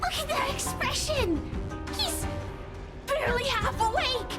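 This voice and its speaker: A woman's voice: very high-pitched, lively intonation, a little slow. A woman playing a haughty, impetuous spirit creature in a video game, taunting theatrically and spoiling for revenge. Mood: mocking, contemptuous. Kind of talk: video game villain acting. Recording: game dialogue over music.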